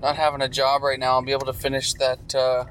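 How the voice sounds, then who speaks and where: A man's voice: mid-range voice, monotone, fast talker, fairly bright tone. A man bantering casually with a friend in a moving car, joking and rambling with lots of fillers. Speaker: co-host. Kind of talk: casual chat. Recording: noisy car with road noise.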